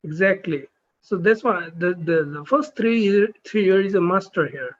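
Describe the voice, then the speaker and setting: High-voiced man asking halting questions. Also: lively intonation, muffled recording, slight background noise. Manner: halting, filler-heavy questions. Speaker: neurosurgery trainee. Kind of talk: casual video call chat.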